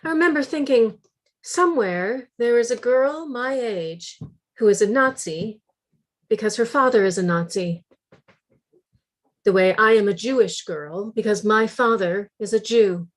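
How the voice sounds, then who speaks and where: An actress playing a thoughtful middle-aged refugee woman in a dramatic monologue, telling her memories in a measured way. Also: on the high side, lightly acted. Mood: wistful, remembering. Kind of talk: dramatic monologue at a staged script reading.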